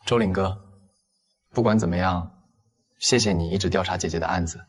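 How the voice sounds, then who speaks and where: A man playing a seemingly innocent, shrewd young university student in an animated drama. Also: low-pitched, moderate pace, slightly rough. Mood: earnest. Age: young adult. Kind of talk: audio drama acting for an animated story.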